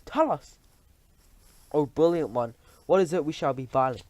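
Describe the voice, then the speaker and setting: A man reading aloud and voicing a crowd of eager female witches who gush and flatter in shrill tones. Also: a little fast, dry recording, lightly acted. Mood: fawning. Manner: shrill, gushing, sycophantic.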